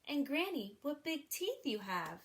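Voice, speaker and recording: A polite, wide-eyed little storybook girl, voiced by a woman reading aloud. Her voice sits higher than the reader's own. Prim and innocent. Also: very animated, measured pace, heavily acted.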